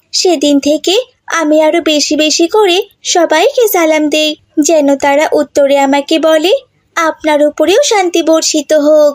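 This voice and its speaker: Woman playing high-voiced earnest cartoon schoolgirl. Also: no echo, studio quality. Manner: earnest, explanatory. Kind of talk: cartoon voice acting.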